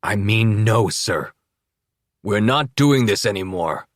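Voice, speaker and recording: A man voicing a steady sheriff who speaks calmly but loudly and firmly in an audiobook. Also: lowish voice, slow pace, dry recording, clean studio sound. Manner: calm but loud, firm. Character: steady, firm middle-aged male sheriff.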